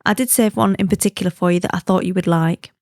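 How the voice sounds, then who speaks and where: A woman's voice: on the low side, fairly even intonation, fast talker, a little mellow, no echo, studio quality. A woman from the UK hosting her own podcast, chatting in a friendly, easygoing way and laughing easily. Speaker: podcast host. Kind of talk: casual chatty podcast monologue.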